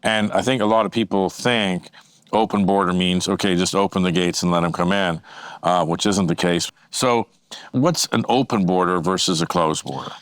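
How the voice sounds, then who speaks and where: A man's voice: sits low, moderate pace, smooth, no echo. A middle-aged man interviewing a guest, blunt and conversational. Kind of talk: casual interview.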